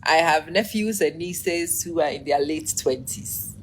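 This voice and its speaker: A low-pitched woman in her forties hosts a chatty live stream. She laughs often, greets viewers by name and sounds short on energy, with a faint hum of noise behind her. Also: unhurried. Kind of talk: casual live-stream commentary.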